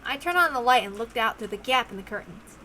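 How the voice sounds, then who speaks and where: High-voiced woman narrating a visual novel. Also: expressive, quick pace, pushed and bright, slight background noise. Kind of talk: dramatic scripted narration.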